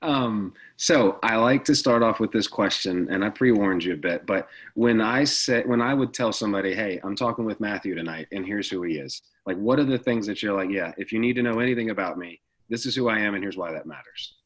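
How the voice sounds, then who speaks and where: A man hosting a podcast, his voice raspy and hoarse from recovering after the flu, chatting casually and joking as he asks questions. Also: on the low side, a little fast, hardly any pauses, rough recording. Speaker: podcast host. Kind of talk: casual interview chat.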